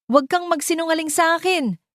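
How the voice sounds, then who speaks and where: A woman's voice: very high-pitched, brisk, no echo, clean studio sound, voice pushed up. A woman voicing a betrayed, angry young niece in a story, accusing and emphatic. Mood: furious.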